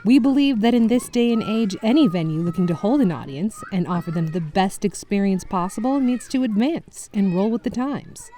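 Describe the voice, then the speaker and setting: A woman playing an earnest kindergarten owner, delivering a measured press statement in corporate-speak. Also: medium pitch, warm tone, natural voice. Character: earnest female kindergarten owner giving a business pitch.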